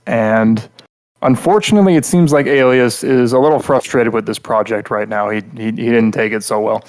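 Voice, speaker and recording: A male podcast co-host giving casual, opinionated critique. His voice sits at an average pitch, and he talks bluntly in a rambling, filler-heavy flow, circling back to restate his points.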